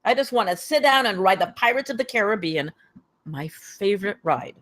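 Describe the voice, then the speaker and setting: A woman's voice: medium pitch, animated, moderate pace, a bit bright. A woman at a script table read, playing an earnest, somewhat weary and defensive former government man.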